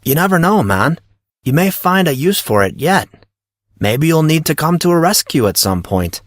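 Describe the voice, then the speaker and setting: A man's voice: on the high side, very animated, normal speed, no room sound, studio quality, pitched above the performer's own voice. A man playing a cocky, breezy teenage schoolboy who talks in slangy, self-assured teasing for an audio drama. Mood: placating. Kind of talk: audio drama character reading.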